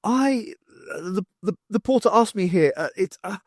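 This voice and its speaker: A man's voice: sits high, lively intonation, unhurried, no room sound, clean studio sound, pitched above the performer's own voice. A man voicing a shy, waif-like teenage boy student in an audiobook, stammering nervously and hesitantly. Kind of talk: audiobook character dialogue.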